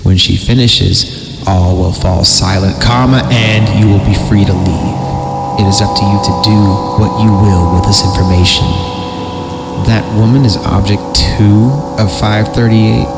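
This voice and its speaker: Man, low voice, theatrical horror narration, joking asides, podcast host, music behind. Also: fairly even intonation, measured pace. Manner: theatrical, stumbles and breaks off to react.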